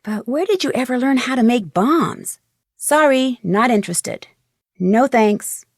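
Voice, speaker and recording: A woman's voice: fairly high pitch, animated, a little slow, no echo, clean studio sound. An actress playing a polite, determined young woman detective in a video game, speaking in an earnest way. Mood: accusing, then curious. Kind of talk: acted video game dialogue lines.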